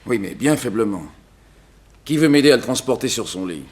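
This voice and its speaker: A composed country doctor in a 1960s radio play. He has a medium-pitched voice and a formal, measured, courteous way of speaking. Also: lively intonation, a little mellow, slightly rough. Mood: grave. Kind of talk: 1960s French radio drama acting.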